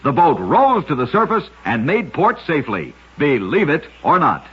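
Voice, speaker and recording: Guy telling short radio tales, really husky voice, dramatic and measured, dull old radio sound. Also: a little high, wildly swooping pitch. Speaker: radio show narrator. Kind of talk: scripted radio storytelling narration of short oddities. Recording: muffled vintage-style radio recording.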